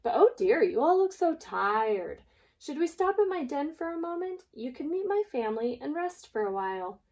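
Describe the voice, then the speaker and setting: A woman's voice: sits high, very animated, normal speed, darkish tone, pitched above the performer's own voice. A woman voicing a sly male fox in a children's folktale, coaxing with false concern. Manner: smooth-talking, coaxing, falsely solicitous.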